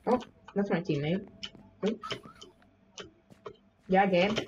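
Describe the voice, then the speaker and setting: A woman streaming a game with friends, chatting casually, yelping and shouting at the action and using mild minced oaths instead of swears. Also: mid-range voice, lively intonation, slow, muffled recording. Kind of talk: casual gaming chat. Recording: home stream mic with noise and keyboard clicks.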